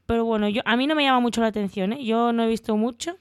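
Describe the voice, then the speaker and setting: A woman's voice: on the high side, quick pace, clear, resonant tone, no room sound, studio quality. A woman with a Spanish accent from Spain, co-hosting a board game podcast in a playful, teasing way. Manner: playful and teasing, laughs between lines. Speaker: podcast co-host. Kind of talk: casual board game news chat.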